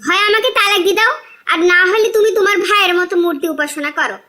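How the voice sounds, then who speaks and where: A woman's voice: very high voice, fast talker, sharp tone. A woman playing a poor, envious wife in a cartoon, complaining with a sarcastic edge. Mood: scolding. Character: discontented, envious, scolding poor fisherman's wife. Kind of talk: cartoon dialogue.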